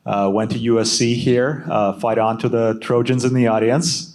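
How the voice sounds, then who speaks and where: A man who was born in Ukraine and grew up in California, speaking as a startup founder on a panel in a measured way with frequent filler pauses. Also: medium pitch, flat delivery, darkish tone. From Ukraine, raised in California. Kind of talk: panel discussion on stage.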